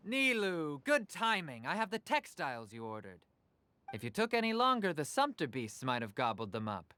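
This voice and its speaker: Jokey fantasy-game textile trader: forward, crisp voice, lively swings in pitch. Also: male voice, moderate pace, exaggerated voice. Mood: teasing. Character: joking male textile merchant.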